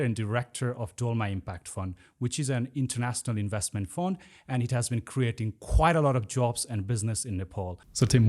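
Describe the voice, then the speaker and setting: A man's voice: mid-range voice, a little mellow. A man hosting a podcast who asks questions in a friendly, conversational way, often adding "you know, like.". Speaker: podcast host. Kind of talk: podcast interview.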